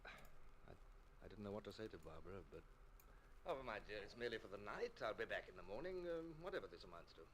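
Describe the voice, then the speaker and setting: An actor playing a stammering, proud but bashful middle-aged naval captain in an old-time radio drama, with hesitant delivery. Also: male voice, lowish voice, big pitch swings, fast talker, a bit bright. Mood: halting, reflective. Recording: noisy old radio recording.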